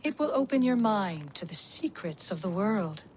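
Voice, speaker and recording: A woman playing a cunning, vengeful witch in a video game, speaking in a deliberate, taunting and threatening way. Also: mid-range voice, animated, a little mellow, a little hiss or hum, lightly acted. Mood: sly, taunting. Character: menacing, cunning female witch, vengeful mother of a monster. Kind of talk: video game cutscene dramatic acting.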